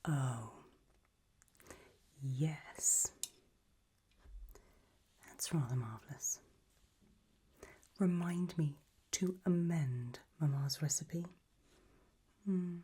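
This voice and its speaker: An elegant, macabre gothic matriarch, played by a woman, receives a guest in an ASMR roleplay. Her whisper is very breathy and mellow, with little edge. She takes her time, languid and gracious in every phrase. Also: sits low, expressive, takes it slowly, long pauses, heavily acted. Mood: gracious, intrigued. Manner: languid, gracious, soft-spoken.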